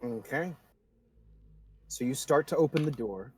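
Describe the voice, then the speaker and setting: A man's voice: mid-range voice, lively intonation, measured pace, a little hiss or hum. A man casually narrating a tabletop roleplaying game as its game master.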